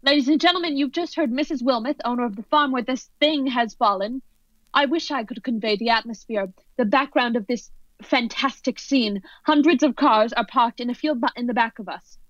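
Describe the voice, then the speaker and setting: A woman playing an excited, increasingly horrified radio news reporter giving dramatic, halting eyewitness commentary in a radio play. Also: on the high side, dry recording, natural voice. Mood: urgent, excited. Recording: dull lo-fi audio under music.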